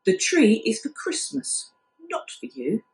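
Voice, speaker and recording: Woman as mother tabby cat, storybook, firm, gentle, measured, roomy recording. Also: high-pitched, very animated, creaky, full character voice. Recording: echoey room.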